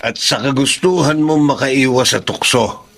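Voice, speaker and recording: A man's voice: medium pitch, moderate pace, gravelly, fairly bright tone. A Filipino man playing a kindly older factory supervisor in a radio drama, avuncular and reassuring. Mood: generous, approving.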